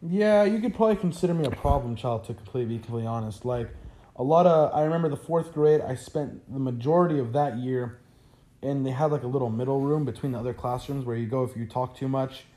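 A man's voice: medium pitch, fast, mellow. A young man, a college freshman whose first language is Arabic, answering interview questions in a chatty way with lots of "like" fillers. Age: young adult.

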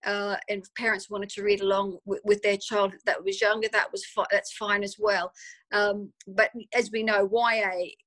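Australian woman, author guest, chatty and rambling, mid pitch. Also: level tone, normal speed, a bit bright, rough recording. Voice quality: chatty, repeats words often, many fillers. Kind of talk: casual interview answers.

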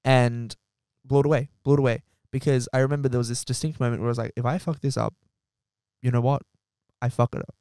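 Fast, dry-sounding man. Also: mid-range voice, clean tone, dry recording. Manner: swears freely, animated storytelling. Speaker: podcast host. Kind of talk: casual interview chat.

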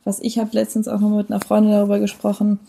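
Young woman, podcast guest: gravelly, soft-toned, casual and slangy. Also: mid-range voice, completely flat delivery, quick pace. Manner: casual slangy, corrects her own wording mid-sentence.

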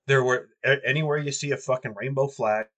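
A man's voice: medium pitch, steady tone, fairly quick, a bit bright. A man hosting a podcast who rants angrily and swears constantly, sniffling from allergies, talking from a cold house. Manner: angry, profane ranting. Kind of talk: ranting podcast talk.

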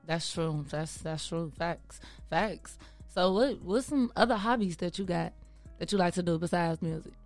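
A woman with a slightly low voice, working as an interviewer. She keeps the chat casual and slangy and often affirms in an agreeable way. Music plays faintly in the background. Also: normal speed.

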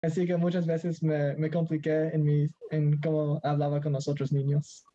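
A man's voice: on the high side, completely flat delivery, normal speed, mellow, dull audio. A teenage boy from Mexico in casual conversation as a guest, hesitant and searching for words, slipping into English now and then. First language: Spanish. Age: teens.

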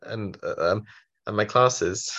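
A man's voice: fairly low pitch, slow pace, a bit bright. Young man with a heavy stutter. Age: 20s. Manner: heavy stutter with many repetitions and fillers. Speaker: college student guest. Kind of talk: interview answers. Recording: dull muffled lo-fi audio.

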